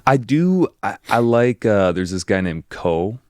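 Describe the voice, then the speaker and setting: A man's voice: lowish voice, sing-song, measured pace, darkish tone, no echo, studio quality. A man with a Chicago accent hosting a podcast, laid-back and slangy, swearing casually. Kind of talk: casual chat.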